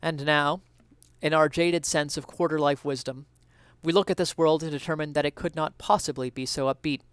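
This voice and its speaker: Man, smooth clear voice, lightly raised pitch, dramatic essay reading, earnest theatrical phrasing, close dry sound. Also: fairly even intonation, normal speed, fairly bright tone, no room sound. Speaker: reader of an essay.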